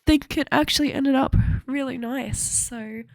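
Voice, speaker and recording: A young woman vlogging in a chatty, rambling way, with a slightly croaky voice and frequent laughter. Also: sits high, unhurried, no room sound. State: a little croaky. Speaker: cosplay vlogger. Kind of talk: casual chat to camera.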